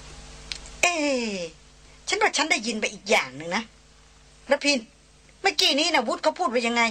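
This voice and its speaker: Woman reading a bubbly teasing young gal on a jungle hike, bright voice. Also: high-pitched, very animated, moderate pace, breathy edge, voice pushed up, heavily acted. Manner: cheerful, playful, teasing.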